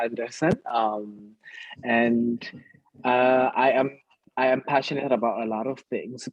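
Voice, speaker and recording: Husky, halting male voice, Ethiopian accent. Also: lowish voice, a little mellow, no room sound, muffled recording. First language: Amharic. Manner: halting, searching for words. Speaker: activist panelist. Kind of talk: introducing themselves in a discussion.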